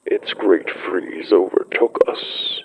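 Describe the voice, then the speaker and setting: An ancient great wolf of a horror audio drama, acted by a man, speaks as a solemn storyteller. The voice is very low and full of gravel, moving slowly through poetic, measured phrases. The sound is slightly dull. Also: wildly swooping pitch, heavily acted. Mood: ominous, awed. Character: ancient great wolf-like beast, solemn pack elder, recalling primeval winters. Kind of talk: scripted dramatic monologue, horror fiction podcast.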